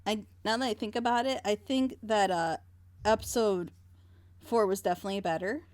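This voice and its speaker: A woman's voice: mid-range voice, normal speed, no echo. Talkative woman with a dry, close sound. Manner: talkative, opinionated. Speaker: host of a group reaction show. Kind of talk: casual group discussion and critique.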